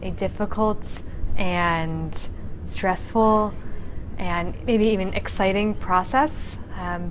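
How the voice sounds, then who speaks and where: Brief: mid-pitched woman, earnest explainer, faint noise behind. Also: low-quality recording. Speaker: speaker on third culture kids.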